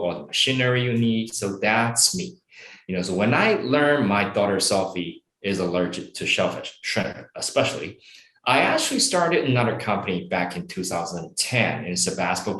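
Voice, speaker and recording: Middle-aged man from Taiwan, founder type, low voice with lively swings, in an echoey room. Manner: animated, speaks in long run-on explanations with fillers. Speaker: startup founder and CEO. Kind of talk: conversational interview answers.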